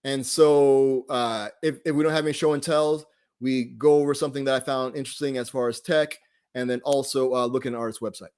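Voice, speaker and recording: A man's voice: mid-range voice, fairly even intonation, a little fast, a little mellow, smooth. A man casually hosting a live online group, talking off the cuff in a rambling, laid-back way and often trailing off mid-thought. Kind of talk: casual, off-the-cuff livestream talk.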